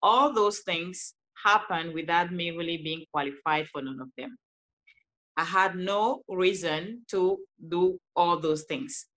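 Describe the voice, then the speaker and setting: A woman from Cameroon with a Cameroonian accent, telling her story as an interview guest in an animated way with frequent laughs. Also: fairly low pitch, a little slow. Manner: animated, laughs often, restarts sentences. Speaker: guest, life coach.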